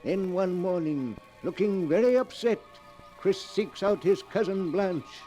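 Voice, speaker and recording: Mellow-voiced old radio narrator. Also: male voice, high voice, a little slow, rough recording. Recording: old radio broadcast with music.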